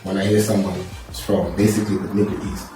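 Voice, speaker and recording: A man's voice: low-pitched, fast talker. Young man, host, casual chat, jokey, fillers, from a distance, echoey room. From Zimbabwe. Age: young adult. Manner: relaxed, jokey, thinks aloud with fillers. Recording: echoey room, mic at a distance, music underneath.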